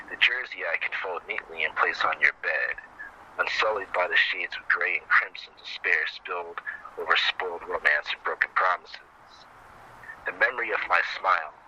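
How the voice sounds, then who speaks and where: A man's voice: low voice, pushed and bright. A man reciting his own poem as spoken word, in a dull lo-fi recording with faint noise. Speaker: poet and podcast guest.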